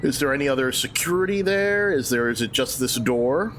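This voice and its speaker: A man playing in a tabletop game, asking quick questions in a casual way. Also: medium pitch, expressive, a bit bright. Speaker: player. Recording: noisy background.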